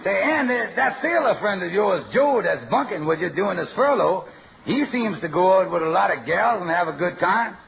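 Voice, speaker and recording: A man's voice: high-pitched, animated, normal speed, gravelly, heavily acted. An actor playing a sly, needling schemer who teases his friend, in 1940s radio comedy style. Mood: teasing. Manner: wisecracking, needling. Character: sly, teasing smooth-talking schemer. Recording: muffled old radio broadcast with loud hiss.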